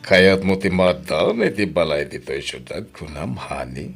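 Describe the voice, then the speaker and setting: A man's voice: low voice, very animated, a little slow, gravelly, over loud music, exaggerated voice. A man with a Filipino accent playing a doting, secretive rich married man in an audio drama, sweet-talking as he goes. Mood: tender, indulgent.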